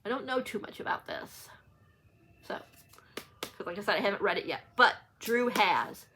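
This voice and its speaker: A woman's voice: mid-range voice, big pitch swings, moderate pace, sharp tone, a little hiss or hum. A chatty woman book vlogger talking casually and unscripted to viewers, rambling and correcting herself as she goes. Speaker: book vlogger hosting a read-along.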